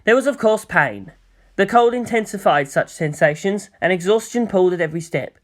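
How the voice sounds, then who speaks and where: Expressive fan-fiction audiobook narrator. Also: brisk. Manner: shifts pitch and pace for emphasis, voices characters.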